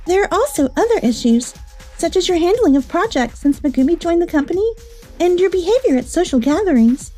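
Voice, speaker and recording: An actress playing a stern, exacting company official in a manga dub, formal and accusatory. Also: female voice, extremely high pitch, expressive, fairly quick, mellow, faint music behind. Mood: stern, critical.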